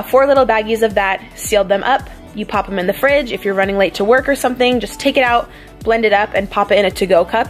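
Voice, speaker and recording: Casual young woman vlogger chatting through a meal prep, middle pitch, soft music behind. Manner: chatty, relaxed, with fillers like um and like. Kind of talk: casual cooking voice-over. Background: faint background music.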